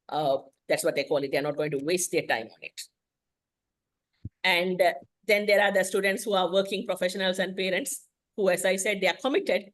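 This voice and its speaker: A woman whose first language is Tamil, giving a frank, explanatory presentation on a video call as an English teacher. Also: medium pitch. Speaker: guest speaker, English teacher.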